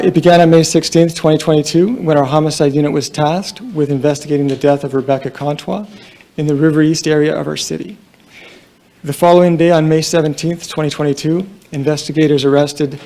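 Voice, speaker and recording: A soft-spoken man with a low, mellow tone and a gravelly edge addresses a crowded press conference. He talks in a flat, halting way, pausing often and leaning on fillers. The room is large. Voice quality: quiet voice. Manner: halting, somber, many fillers. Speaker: police deputy chief.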